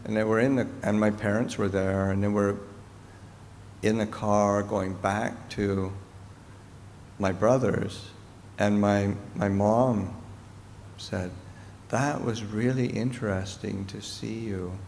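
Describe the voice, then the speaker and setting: Middle-aged man, slow halting talk, gravelly low voice, echoey hall with a bit of hiss. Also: little pitch movement, darkish tone. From Canada. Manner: slow, halting, thoughtful speech with pauses. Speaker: Buddhist monk and teacher. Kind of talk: Dharma talk Q&A answer. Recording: reverberant hall with faint noise.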